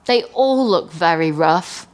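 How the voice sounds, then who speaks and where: A woman's voice: fairly low pitch, animated, slow pace, darkish tone. A woman co-hosting a podcast, chatting casually about a film, thinking aloud with hedges and emphatic repetition. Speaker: podcast co-host. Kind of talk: casual film review chat.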